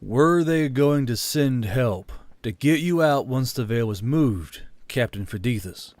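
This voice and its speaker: A man's voice: mid-range voice, expressive, unhurried, darkish tone, no room sound, natural voice. A man voicing a commanding war leader in a fantasy audiobook, stern but merciful. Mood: stern, measured. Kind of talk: audiobook character acting.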